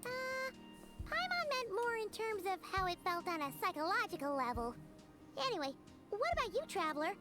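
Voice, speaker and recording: A woman's voice: very high voice, sing-song, brisk, music in the background. A woman voicing a small, chirpy, childlike fairy companion in a video game, food-loving and dramatic. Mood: chatty, curious. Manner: speaks of self in third person.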